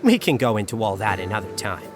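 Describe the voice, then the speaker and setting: A man's voice: fairly low pitch, sing-song, fast talker, music in the background. A man playing a composed, coolly threatening young gang heir in a visual novel fan dub, speaking politely and in a businesslike way.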